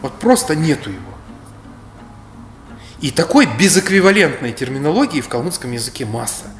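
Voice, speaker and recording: A man in his thirties from Kalmykia, speaking as a history speaker in a measured, lecturing way, seated in a bright indoor room. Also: fairly high pitch, animated. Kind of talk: reflective explanatory talk. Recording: roomy echo, faint music.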